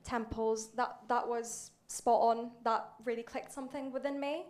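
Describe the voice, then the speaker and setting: Young woman from turkey asking a q at a talk, chatty, bit high voice, lots of ums. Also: steady tone, moderate pace, darkish tone. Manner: chatty, with frequent "um" and laughter. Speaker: audience member asking a question. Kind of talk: audience question.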